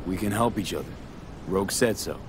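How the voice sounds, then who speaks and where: Game role: male actor as cool street merc, fairly low, terse and practical, noisy vehicle ambience. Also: fairly quick, close to an everyday voice. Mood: cool, businesslike. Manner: terse, clipped, matter-of-fact. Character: cool, pragmatic street mercenary man. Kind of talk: naturalistic video game acting. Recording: vehicle and ambience noise behind.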